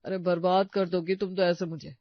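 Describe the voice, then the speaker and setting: A man's voice: high-pitched, little pitch movement, normal speed, dry recording, muffled recording. A man playing a stingy, fretful husband who scolds and complains in a comedy story. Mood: indignant. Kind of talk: animated comedy story dialogue.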